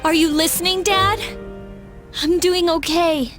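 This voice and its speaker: A woman's voice: very high voice, fairly even intonation, unhurried, music in the background. An actress playing a determined teenage girl resolving to honor her late father, in a game cutscene. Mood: resolute. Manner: earnest, resolute.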